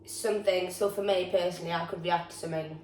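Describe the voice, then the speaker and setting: A young Geordie woman talks casually and bluntly, with a fairly low voice. She sits back from the mic in an echoey room, with a faint hiss behind her. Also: fairly even intonation, fast, darkish tone, far from the mic. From North East England. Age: young adult. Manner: chatty, frank, swears freely. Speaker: drama student podcast co-host. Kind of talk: casual chat.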